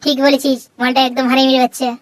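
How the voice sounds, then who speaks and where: A performer voicing a hot-tempered, suspicious cartoon husband in a high, childlike voice, brash and scolding. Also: little pitch movement, fast talker, natural voice. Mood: boastful, irritated. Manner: brash, scolding, insult-laden. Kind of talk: exaggerated comic cartoon dialogue. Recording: noisy track with clicks.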